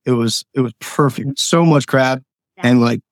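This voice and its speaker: A man from Pennsylvania with a hoarse voice, chatting enthusiastically as a guest on a local show. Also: mid-range voice, moderate pace, dry recording, clean studio sound. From Lancaster County, Pennsylvania. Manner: friendly, enthusiastic, filler-heavy. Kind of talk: casual interview chat.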